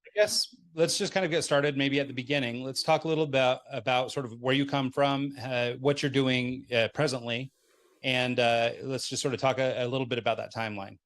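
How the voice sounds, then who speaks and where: A man's voice: medium pitch, steady tone, fairly quick, fairly bright tone. A man hosting an interview podcast in a casual, informal, meandering conversational way. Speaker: podcast host.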